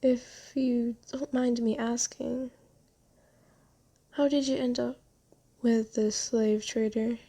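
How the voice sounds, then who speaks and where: A woman playing a gentle, genteel vampire in an ASMR roleplay, soft-spoken, comforting and unhurried. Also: on the high side, little pitch movement. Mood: kindly hospitable, then sympathetic. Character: gentle, soothing, genteel vampire who bought a captive. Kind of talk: ASMR roleplay, scripted character acting.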